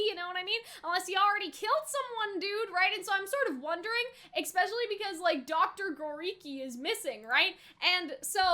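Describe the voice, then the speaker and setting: A woman's voice: high-pitched, bright, forceful tone. A young adult woman giving casual reaction commentary in an informal, slangy way, often tossing in rhetorical questions. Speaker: reaction video host.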